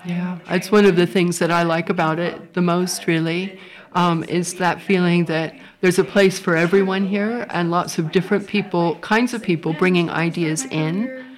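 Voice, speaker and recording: A woman's voice: on the low side, fairly even intonation, normal speed. A woman with a Californian accent, hosting a panel discussion and asking guests follow-up questions. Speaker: host.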